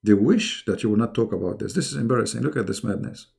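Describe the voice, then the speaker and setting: A man's voice: fairly low pitch, fast, gravelly, a little mellow. A middle-aged man who speaks English as a second language hosts a live online debate in a mocking, emphatic, sarcastic way. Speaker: debate host.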